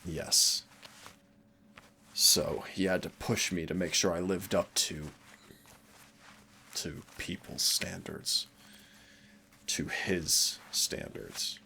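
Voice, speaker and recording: A man playing a centuries-old demon hunter, teasing and rebellious yet wistful and protective, in an intimate audio roleplay with a gravelly voice. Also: sharp tone, slight background noise, exaggerated voice. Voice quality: very low, gravelly creaky voice. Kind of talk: intimate second-person audio roleplay acting.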